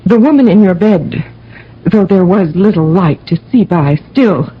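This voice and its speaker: Solemn older woman in radio drama, rough breathy voice, troubled and stately, muffled broadcast sound. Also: medium pitch, animated, unhurried. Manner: formal, portentous. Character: grieving, solemn older mother. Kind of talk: old-time radio drama acting. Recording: dull old radio recording.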